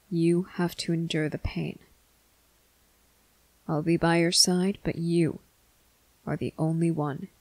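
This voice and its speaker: Slow, gravelly, stoic vampire officer acted out. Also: medium pitch, no echo, poor audio. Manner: slow, deliberate, stern, commanding, hushed at times. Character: stern, stoic vampire military officer, protective man. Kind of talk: ASMR roleplay addressed to the listener.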